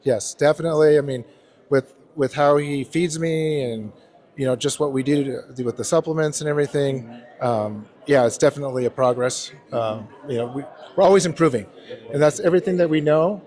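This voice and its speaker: A man in his fifties from California, a bodybuilding competitor, answering interview questions in a measured way with many pauses. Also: a little high, a little mellow. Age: 50s. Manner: measured, hesitant, many "um" and "you know". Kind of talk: casual interview.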